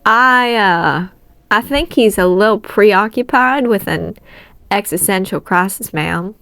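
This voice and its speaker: A woman's voice: mid-range voice, takes it slowly, a bit bright, no echo. Woman voicing a cheeky, confident teenage girl. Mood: awkward.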